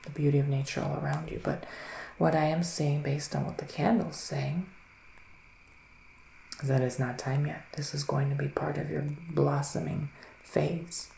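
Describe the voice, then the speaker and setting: A man playing a gentle psychic witch in an ASMR roleplay, speaking in a soft, musing whisper with long pauses. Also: on the high side, flat delivery, vocal fry, roomy sound, slight background noise, rough recording. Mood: hushed, musing. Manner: slow, hushed, musing, with drawn-out pauses. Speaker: ASMR performer giving a psychic reading.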